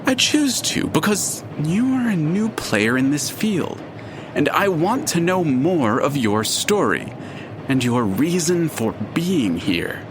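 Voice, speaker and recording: Pompous omnipresent narrator spirit for audio drama: fussy, precise, highish male voice with wildly animated pitch. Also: slow, background noise. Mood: haughty, prickly. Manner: pompous, precise, faintly hesitant, florid wording. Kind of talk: full-cast audio drama acting.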